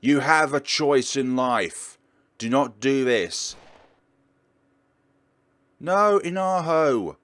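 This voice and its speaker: A man's voice: medium pitch, lively intonation, very slow, pauses often, fairly bright tone, no room sound, voice pushed up. A man voicing an earnest, protective young policeman from a visual novel, pleading urgently and emphatically.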